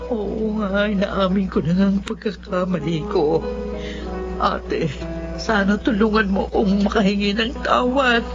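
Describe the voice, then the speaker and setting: A woman's voice: medium pitch, measured pace, lightly acted. An actress playing a remorseful, hot-tempered middle-aged mother in a Filipino radio drama, with a Filipino accent. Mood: ashamed, pleading. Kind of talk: Filipino radio drama acting and first-person narration. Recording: dull lo-fi radio sound, music underneath.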